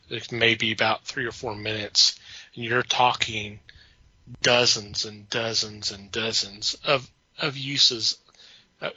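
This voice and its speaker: Man with sharp, forceful voice, halting chat. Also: medium pitch, no room sound, dull audio. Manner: halting, repeats words and restarts sentences. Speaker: podcast co-host. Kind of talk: casual film chat.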